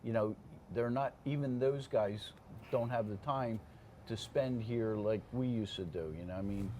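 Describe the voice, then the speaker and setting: A man's voice: on the low side, unhurried, warm tone. An older man, a veteran fire officer, chatting casually as an interview guest in a plainspoken way, often checking in with the listener. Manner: plainspoken, checks in with "okay?" and "you know". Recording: clear background room noise.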